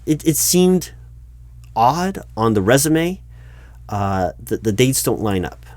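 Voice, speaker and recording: A man roleplaying a skeptical but polite hiring manager in a one-sided interview, speaking out loud in a halting, stammering way. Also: mid-range voice, sing-song, no room sound. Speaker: roleplay performer as recruiting manager. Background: computer keyboard typing.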